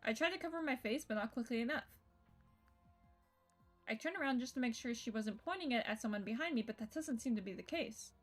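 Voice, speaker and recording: A woman with a fairly high voice reads story narration aloud as she streams a game. Music plays under her reading. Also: expressive, brisk.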